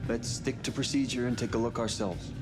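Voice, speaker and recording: An actor playing a steady, by-the-book spaceship crewman in a sci-fi game, measured and procedural. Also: medium pitch, music in the background, lightly acted. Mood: composed, reassuring. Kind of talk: video game voice acting, sci-fi horror.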